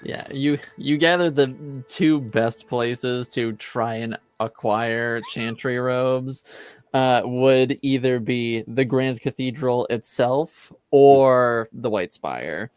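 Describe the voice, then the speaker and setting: A man's voice: medium pitch, slow pace, darkish tone, clean tone. A man running a tabletop roleplaying game, explaining the setting off the cuff and thinking aloud. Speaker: game master. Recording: music behind the voice. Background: background music.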